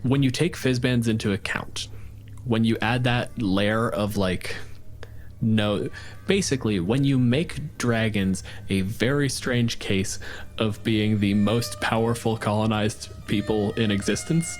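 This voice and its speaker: A man's voice: fairly low pitch, measured pace, soft background music. A man in a casual podcast chat about tabletop games, wry and joking.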